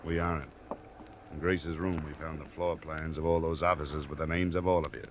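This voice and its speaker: An American actor playing a tough, shrewd insurance investigator in a 1950s radio drama, with clipped, hard-boiled delivery. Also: male voice, extremely low pitch, level tone, moderate pace, a little hiss or hum. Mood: pressing. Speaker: lead actor and narrator. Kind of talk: 1950s radio detective acting. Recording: muffled old radio recording, music behind.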